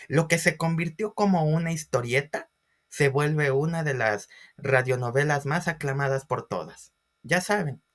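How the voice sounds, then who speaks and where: A man's voice: mid-range voice, fairly bright tone. A man giving a history lecture as a storyteller, with theatrical emphasis and questions to his listeners. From Ecuador. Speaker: history teacher.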